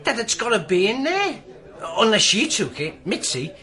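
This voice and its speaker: A man's voice: sits high, very animated, normal speed, pushed and bright, heavily acted. A man acting a blunt, down-to-earth police sidekick with a Northern English accent in a radio drama. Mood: puzzled.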